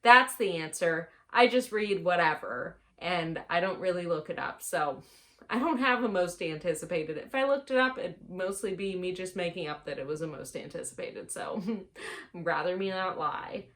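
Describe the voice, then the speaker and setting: A woman's voice: fairly low pitch, moderate pace, fairly bright tone. A woman chatting casually to camera as a book reviewer, playful and self-mocking, stretching words for dramatic emphasis. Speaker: book reviewer on a video channel.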